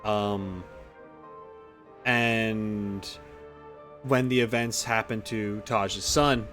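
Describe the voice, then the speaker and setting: A man commentating on a game playthrough as a streamer, casual and blunt, rambling and halting as he thinks aloud. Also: lowish voice, fairly even intonation, fairly bright tone. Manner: rambling, halting when reflecting, blunt and profane. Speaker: gaming streamer. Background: game music.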